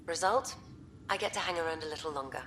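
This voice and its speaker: Low-pitched, frank scientist wife. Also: female voice, quick pace, a bit bright, slight background noise. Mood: wry, teasing. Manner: frank, dryly teasing. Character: frank, wry, ailing scientist wife and mother. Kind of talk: video game voice acting.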